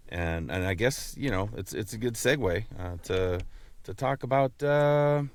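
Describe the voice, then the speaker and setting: This is a male podcast host with a low voice and a close, dry sound. He chats casually and haltingly, circling back over words as he works toward his point. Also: expressive, dry recording. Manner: halting, repeats words as he explains. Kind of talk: casual chat.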